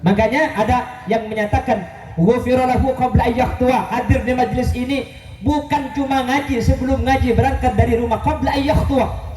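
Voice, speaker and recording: A man preaching an Islamic sermon to a gathering, addressing listeners directly in a joking manner and mixing in Arabic quotations. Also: very high voice. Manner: joking, self-deprecating, addresses the audience directly. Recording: roomy, distant, noisy live gathering recording.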